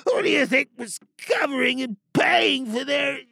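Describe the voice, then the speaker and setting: A man playing a dying, infected space commander, gruff and desperate, barking strained orders with a rasping voice in a sci-fi audio drama monologue. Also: extremely high pitch, normal speed, bright, forceful tone, dry recording, clean studio sound, pitched above the performer's own voice, exaggerated voice. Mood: desperate, commanding. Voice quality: gravelly, rasping.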